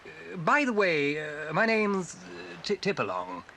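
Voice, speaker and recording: Bumbling, nervous birdwatcher, fussy and halting, very animated intonation, noisy cassette drama. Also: male voice, fairly high pitch, exaggerated voice. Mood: nervous, polite. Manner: stammering, hesitant. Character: bumbling, nervous male bird-watcher, fussy and easily flustered. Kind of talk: radio-play acting on a story tape. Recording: noisy old cassette transfer.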